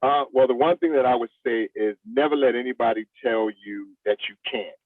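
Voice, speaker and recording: A man's voice: medium pitch, brisk, no room sound, narrow, tinny audio. A man in his fifties, a veteran actor, chatting casually and plainly as an interview guest. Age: 50s. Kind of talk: casual chat.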